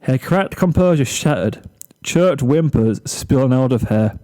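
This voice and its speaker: A man's voice: medium pitch, expressive, measured pace, warm tone. A man reading a comic story aloud as scripted narration.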